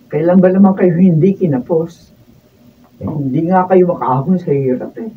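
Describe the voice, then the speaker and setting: An actress playing a haughty, sharp-tongued wife in a Filipino radio drama. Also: female voice, on the low side, normal speed, close to an everyday voice. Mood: irritated. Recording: muffled distant mic, faint noise.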